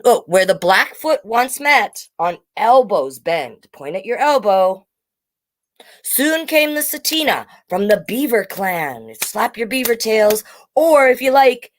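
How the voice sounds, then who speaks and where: A woman hosting a live children's storytime, with animated, sing-song delivery and chatty asides. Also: on the low side.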